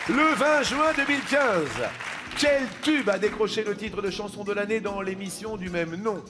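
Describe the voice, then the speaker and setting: A male game show host with a high voice and a theatrical, teasing delivery, presenting a quiz with playful banter. Music plays behind him in the TV studio. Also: lively intonation, moderate pace, crowd noise. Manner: theatrical, teasing, breaks into snatches of song.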